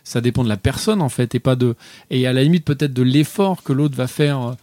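A man's voice: mid-range voice, a little fast, dark tone, no room sound. A man in a casual debate, arguing at length and apologizing for rambling. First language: not French.

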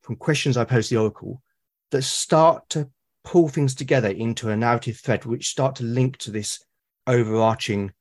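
A man with a British accent, a podcast guest talking casually and at length, often pausing to rephrase his thoughts. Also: medium pitch, a little mellow. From Britain. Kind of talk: casual conversation about solo roleplaying.

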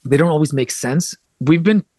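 A man's voice: on the high side, moderate pace, a little mellow, dry recording, studio quality. An American man explaining things in a conversational interview as a guest, articulate and discursive, with frequent asides. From United States. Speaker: podcast guest, author and translator.

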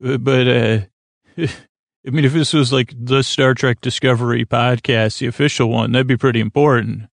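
Guy with a gravelly creaky voice doing a meandering sleep podcast, soothing, keeps losing his thread, super dry close sound. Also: mid-range voice, steady tone, normal speed, no echo. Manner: meandering, stumbling, self-deprecating, often trailing off mid-thought; lulling, soothing delivery. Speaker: podcast host. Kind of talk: rambling, unscripted sleep podcast intro.

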